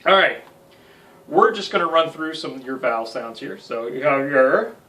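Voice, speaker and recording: Bright-voiced American man, a little far off. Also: on the high side, lively intonation, normal speed, slight background noise. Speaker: guitar instructor. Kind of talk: casual tutorial talk. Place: small home music room.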